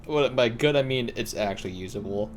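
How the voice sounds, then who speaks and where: Game streamer: medium-pitched, offhand, slangy reactions, casual commentary with soft music underneath. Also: fairly quick. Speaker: livestreamer playing a game. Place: game livestream with game audio behind.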